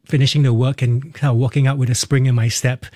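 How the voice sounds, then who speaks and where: A mellow, warm-toned man in his fifties with a Singaporean accent speaks as an interview guest. He tells his story candidly and reflectively, in short phrases that often restart. The sound is close and dry, with no room around him. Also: mid-range voice, fairly even intonation, quick pace, no echo. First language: Cantonese. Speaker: interview guest, musical theatre performer.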